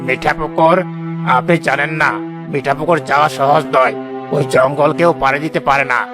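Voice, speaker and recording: A man's voice: on the high side, fairly even intonation, moderate pace, over music, natural voice. A man playing a stern, concerned village headman in a cartoon, speaking with authority. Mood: worried. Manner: authoritative, questioning. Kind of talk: Bengali cartoon voice acting.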